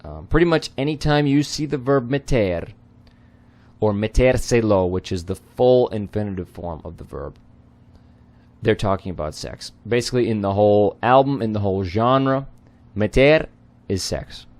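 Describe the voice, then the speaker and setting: A man's voice: fairly low pitch, lively intonation, moderate pace, darkish tone. A man whose first language is not Spanish, casually explaining song lyrics word by word with many fillers. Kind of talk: casual lyric translation lesson.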